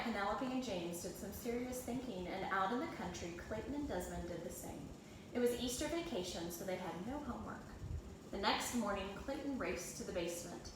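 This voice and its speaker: A woman, a teacher, reads a children's storybook aloud in a narrating style. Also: medium pitch. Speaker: second grade teacher reading aloud. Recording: echoey room, distant mic, clear background noise.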